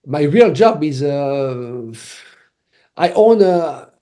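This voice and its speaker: A man with a French accent, a native French speaker from Cameroon, talking casually as a podcast guest and telling things in an animated way. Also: fairly high pitch, extremely slow. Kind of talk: casual conversational interview.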